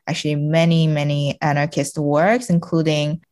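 A woman's voice: low voice, little pitch movement, moderate pace, a little mellow. A woman in her twenties discussing books casually as a podcast guest, talking tentatively and informally as she searches for words. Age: 20s.

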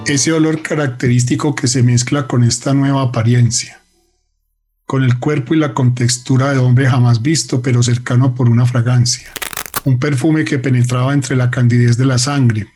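A man's voice: mid-range voice, steady tone, moderate pace, a little mellow, soft background music. A man narrating a radio drama in a solemn, literary, dramatic manner.

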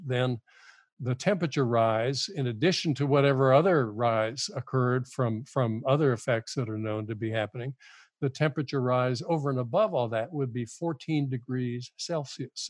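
Older man, halting and earnest. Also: mid-range voice, dry recording. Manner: halting, repeats words, earnest and argumentative. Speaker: guest author. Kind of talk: podcast interview.

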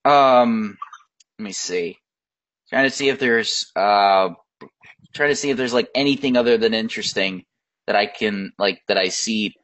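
A man's voice: lowish voice, measured pace, a bit bright, rough recording. A man co-hosting a casual film chat, rambling with lots of fillers and restarts. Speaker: podcast co-host. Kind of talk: casual chat about films.

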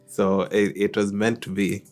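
A man from Kenya with a low voice talks easily as a guest in a radio studio. He answers in a relaxed way and laughs often. Faint music plays underneath. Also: measured pace. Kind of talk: casual interview answers.

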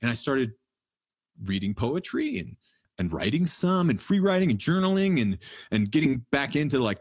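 A man in his thirties hosting a casual livestream Q&A, answering listener questions in a relaxed, rambling way and laughing often. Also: medium pitch, breathy edge, dry recording, studio quality. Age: 30s. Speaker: livestream Q&A host. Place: home in the mountains.

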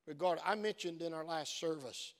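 Pastor guy preaching, a bit high, voice kinda worn, long church echo. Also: normal speed. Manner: earnest storytelling that builds to fervent preaching. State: voice worn from many phone calls. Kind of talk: sermon. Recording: long reverb of a church hall.

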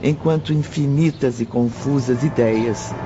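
A man's voice: medium pitch, unhurried, heavy background noise. A man with a Brazilian accent gives solemn, literary narration for a radio drama. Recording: muffled radio production, music underneath.

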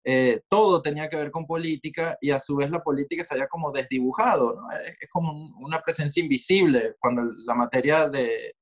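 A man's voice: a little high, brisk, few pauses. Reflective Venezuelan man, easygoing talk. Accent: Venezuelan Spanish. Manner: reflective, digressive, with many fillers and asides. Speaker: interview guest, writer. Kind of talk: conversational interview.

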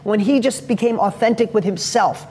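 A man with a high-pitched voice gives a motivational talk as a father and host, telling stories energetically and rambling with restarts. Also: moderate pace, mellow, slightly rough. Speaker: host and father giving advice.

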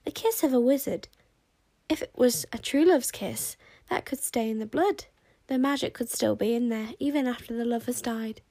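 A woman's voice: on the high side, moderate pace, warm tone, dry recording. A woman voicing a prim, disapproving female frost sprite who lectures in a sniffy way. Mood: solemn.

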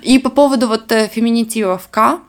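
A woman's voice: a little high, a little fast. Chatty woman with a southern Russian accent. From Crimea. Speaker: podcast co-host. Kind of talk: casual chat.

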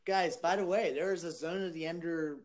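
A man's voice: on the high side, brisk. A man co-hosting a podcast, speaking in a casual conversational way. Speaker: podcast co-host.

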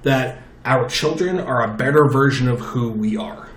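A man with a loud, booming voice, telling stories animatedly in a casual chat at a table with friends. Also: moderate pace. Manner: chatty, animated storytelling with restarts. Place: table with four people. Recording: roomy echo.

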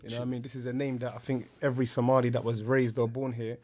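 A man's voice: medium pitch, flat delivery, quick pace, darkish tone. A man with a South London accent chatting casually as a podcast guest, using heavy street slang and frequent tag questions. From South London, England. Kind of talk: casual chat.

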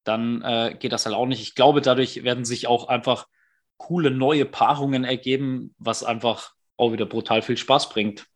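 A man co-hosting a casual podcast, explaining the rules step by step with frequent fillers. His voice has an ordinary middle pitch. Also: steady tone, moderate pace. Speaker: podcast co-host, tournament organizer.

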